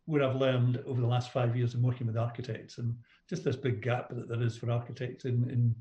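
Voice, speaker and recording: A middle-aged Scottish man talks as a business coach in an interview. His pitch is average for a man. The sound is clearly roomy, with echo around the voice. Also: flat delivery, a little fast, mellow, muffled recording. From Scotland. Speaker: business coach, podcast guest. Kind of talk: conversational interview answers.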